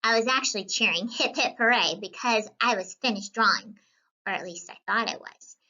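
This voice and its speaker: Woman playing a young student storybook narrator, wry, playful, bright and forceful, slightly dull recording. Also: mid-range voice, moderate pace. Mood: playful, chatty. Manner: animated, wry, playful asides. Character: whimsical, wisecracking young student painter narrating an adventure. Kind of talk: scripted children's read-aloud.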